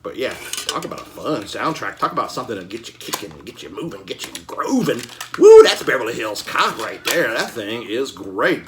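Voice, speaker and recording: A man chatting casually and enthusiastically as host of a collecting show, with an excitable, slangy, folksy manner full of exclamations. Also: medium pitch, noisy background. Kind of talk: casual enthusiastic vlog chat.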